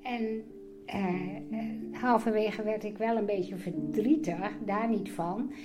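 A woman's voice: mid-range voice, takes it slowly, over music. An older woman recalling things casually, hesitating as she searches for words. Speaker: host's mother, interviewee. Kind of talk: casual personal recollection.

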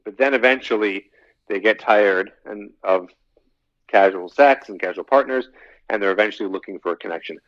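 Man in his thirties, low and dry. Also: normal speed, dry recording. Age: 30s. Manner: talkative, rambling, repeats phrases. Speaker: podcast guest, dating coach. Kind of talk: casual podcast interview. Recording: dull, slightly lo-fi, dry sound.

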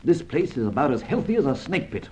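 Suave adventurer, wildly animated pitch. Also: male voice, fast, low-quality recording. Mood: brisk, relieved. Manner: breezy, wry, polished wording. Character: suave, flippant gentleman adventurer, enemy of social order. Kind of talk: old-time radio drama acting.

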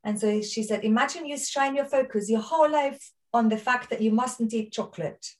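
A woman's voice: on the high side, fairly quick, darkish tone, far from the mic. A woman from Austria with an Austrian accent, a podcast guest talking earnestly in casual chat. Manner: earnest, storytelling.

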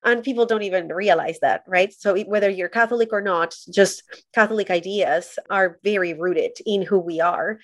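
A woman's voice: mid-range voice, no room sound. Earnest woman from Colombia, dry sound. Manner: earnest, thoughtful. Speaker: podcast guest. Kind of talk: reflective personal storytelling.

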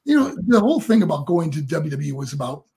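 A middle-aged man with a raspy voice, a guest and former wrestler rambling through stories with lots of fillers. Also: a little high, big pitch swings, quick pace, darkish tone. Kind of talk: casual interview storytelling.

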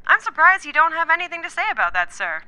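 Piercingly bright, sardonic rebel officer. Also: female voice, very high voice, big pitch swings, quick pace, no echo, full character voice, poor audio. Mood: teasing. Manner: sarcastic, brash. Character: sardonic, irreverent female rebel field officer. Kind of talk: audio drama acting.

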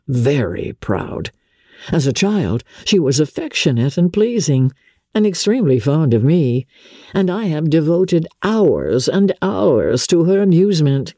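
A woman voicing an aggrieved young Regency gentleman in a dramatic audiobook reading, measured, confiding and mournful in manner. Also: extremely low pitch, slightly rough, dry recording, clean studio sound, exaggerated voice. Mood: regretful.

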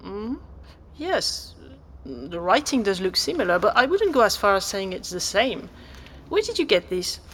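An actress playing a helpful, slightly flustered exhibition guide, speaking politely and hesitantly in naturalistic audio drama dialogue. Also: female voice, mid-range voice, very animated, measured pace, husky. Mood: polite, doubtful. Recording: long hall-like reverb.

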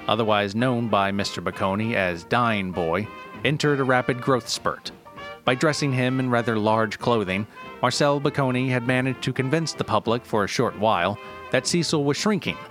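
A man reading scripted documentary-style narration in a formal, deadpan manner. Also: medium pitch. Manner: deadpan, formal delivery of absurd history. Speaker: narrator and writer of a story podcast.

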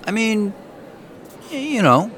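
A man's voice: sits high, sing-song, slow, a bit bright, a little hiss or hum, heavily acted. An actor playing a hesitant, skeptical man who asks questions in an understated way, in audio drama dialogue. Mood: puzzled, curious. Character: hesitant, skeptical man, a reluctant newcomer tagging along.

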